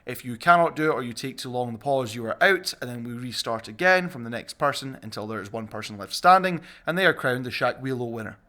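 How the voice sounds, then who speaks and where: A man's voice: medium pitch, quick pace, few pauses. A man hosting a podcast party game in a playful, casual, bantering way. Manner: playful, animated. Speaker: podcast host and game presenter.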